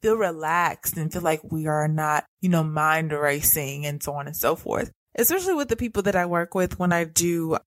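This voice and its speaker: A woman's voice: sits low, expressive, moderate pace, hardly any pauses, no echo, clean studio sound. Earnest woman teaching about anxiety. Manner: earnest, repetitive phrasing, direct address. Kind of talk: teaching monologue.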